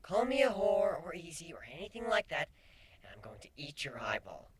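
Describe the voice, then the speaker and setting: A woman playing a brazen, teasing female pony with a breathy, husky voice in a dramatic fan fiction reading. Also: low-pitched, big pitch swings, normal speed, slight background noise. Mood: shameless, flat. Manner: sly, blunt, provocative.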